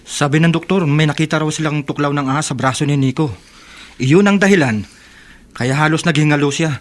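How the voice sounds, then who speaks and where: An actor playing an anxious, loyal young companion in a horror radio drama. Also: male voice, fairly high pitch, brisk, faint music behind, natural voice. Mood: worried. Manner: tense, anxious.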